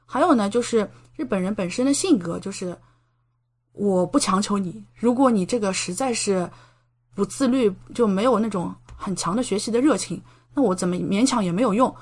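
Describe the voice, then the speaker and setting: Young woman from china, podcast guest, grad student chatting and explaining stuff, medium pitch. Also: normal speed, darkish tone. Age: young adult. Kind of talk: conversational interview answers, explanatory.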